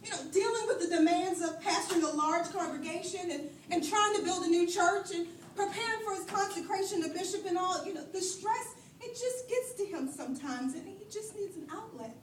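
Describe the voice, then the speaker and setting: High-voiced devoted wife, acted by a woman, echoey stage. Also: fairly quick, hardly any pauses. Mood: defensive, pleading. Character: devoted battered pastor's wife, pleading, wistful, defending her husband. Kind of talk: live stage play acting. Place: stage in a roomy hall. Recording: echoey hall from far off, light noise.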